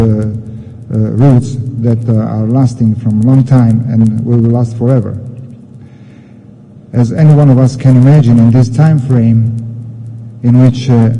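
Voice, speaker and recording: A man with an Italian accent speaks slowly and haltingly in a very soft-edged, dark tone. He is a military commander giving a formal address at a podium, and his voice rings through a large hall. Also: mid-range voice, slightly rough. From Italy. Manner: halting, repeats words. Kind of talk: formal ceremonial speech in halting English. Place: large indoor ceremony hall. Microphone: podium microphone. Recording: reverberant hall sound.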